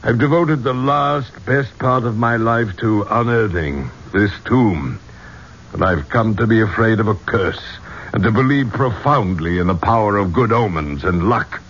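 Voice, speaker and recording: An actor playing an obsessed, superstitious gentleman archaeologist in a 1970s radio drama, with a grandiloquent, courtly way of speaking. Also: fairly low pitch, a little slow. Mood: earnest, pleading. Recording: dull, noisy 1970s radio recording.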